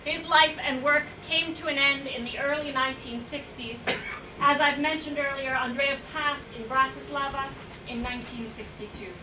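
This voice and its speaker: A woman's voice: high voice, steady tone, moderate pace, close to an everyday voice. A woman playing an eager, courteous presenter in a staged reading, speaking in a formal, declamatory way. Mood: solemn, reverent. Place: indoor room at a staged reading. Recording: roomy, distant mic, noisy live hall recording.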